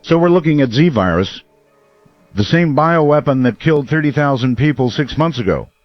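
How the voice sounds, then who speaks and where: A man's voice: on the high side, lively intonation, normal speed, no echo, muffled recording, faint music behind, close to an everyday voice. A man playing a grave, probing late-night radio talk host. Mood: probing, uneasy.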